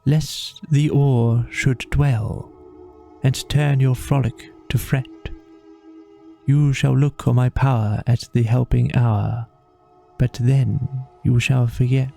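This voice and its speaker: Man as a languid water rat, storybook character, poetic, rapt, murmuring, very slow, gravelly. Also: mid-range voice, fairly even intonation, mellow, faint music behind. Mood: hushed, entranced.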